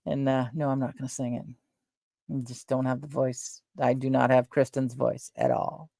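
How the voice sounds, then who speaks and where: A middle-aged woman with a soft voice, chatting casually and at length as host of her own stream, wandering between thoughts with fillers and self-corrections. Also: extremely low pitch, level tone, normal speed, gravelly, no room sound, studio quality. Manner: meandering, trails off, frequent fillers and self-corrections. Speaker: livestream host. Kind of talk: rambling casual chat.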